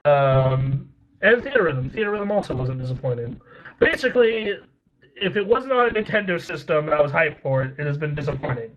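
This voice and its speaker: A man with a somewhat high voice leads a casual chat about games, dropping in plenty of "like"s and "um"s. The audio sounds a little dull and lo-fi. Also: expressive. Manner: brisk, filler-heavy with many "like"s. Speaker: podcast host.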